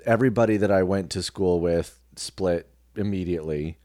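A man of about forty chatting casually as a guest, telling stories in an animated way and acting out the dialogue. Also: low voice, moderate pace, dry recording, studio quality. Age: around 40. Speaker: guest, radio personality and actor. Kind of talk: casual chat, anecdote telling.

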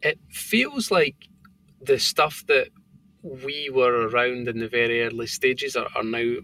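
A man with a thick Scottish accent talks in loose, rambling podcast chat, full of "like" and restarts. His voice is gravelly and bright, with an edge of tension. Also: mid-range voice, unhurried, rough recording. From Scotland. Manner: rambling, filler-heavy storytelling. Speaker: company founder and guest.

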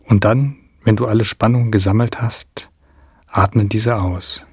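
A man narrating a guided meditation in a calm, soothing, measured way with long pauses, his voice soft and slightly husky. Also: on the low side, no echo, clean studio sound.

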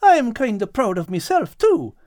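An excitable tomcat from a children's storybook, timid at heart but comically boastful. The voice is pushed high and bounces all over, in a dry recording. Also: male voice, moderate pace, no room sound, heavily acted. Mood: pleased.

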